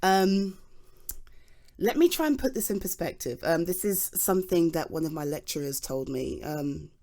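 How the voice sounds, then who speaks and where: Flustered British geology nerd played by a woman, lowish voice. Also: expressive, moderate pace, warm tone. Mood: flustered, thoughtful. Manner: rambling, hesitant, eager, informal explaining to a listener. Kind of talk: ASMR audio roleplay, conversational explaining.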